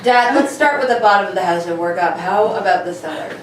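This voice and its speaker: A woman playing a thoughtful, questioning male detective in an old-time radio play, speaking deliberately. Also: medium pitch, expressive, close to an everyday voice. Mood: probing, thoughtful. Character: bedridden young male amateur detective, thoughtful and probing. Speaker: amateur radio play performer. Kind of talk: 1940s radio play read for laughs. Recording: distant mic, very echoey room, faint noise.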